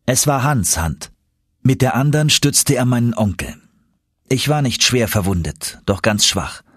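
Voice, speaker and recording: A man's voice: mid-range voice, lively intonation, unhurried, husky, no echo, clean studio sound. A man narrating an audiobook in a dramatic, scripted first-person storytelling style.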